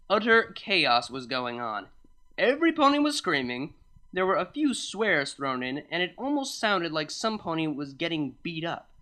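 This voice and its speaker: A fan fiction reader narrates in a bright, middle-pitched voice. The delivery is animated, with lively movement in pitch.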